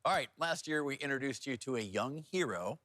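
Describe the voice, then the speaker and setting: Guy anchoring tv news, chatty and informal, really animated pitch, dry close sound. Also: brisk, no echo. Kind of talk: television newscast with casual co-anchor banter.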